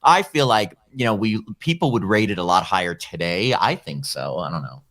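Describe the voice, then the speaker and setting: A man's voice: low voice, lively intonation, moderate pace, a bit bright. A man in his forties with an American accent, talkative and casual as a podcast guest, explaining his views at length. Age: 40s. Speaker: podcast guest, horror fan. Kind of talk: casual movie discussion.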